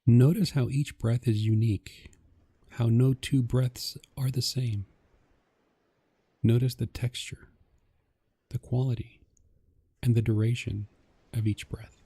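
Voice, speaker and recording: Male meditation guide: husky and deeply relaxed, soft, calm, measured instruction. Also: no room sound. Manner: gentle, calming, measured instruction.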